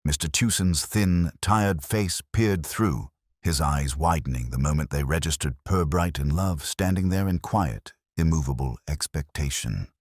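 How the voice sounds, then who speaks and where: A man reading scripted detective-story narration in a husky voice. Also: a bass voice, a little slow, hardly any pauses, no room sound, clean studio sound.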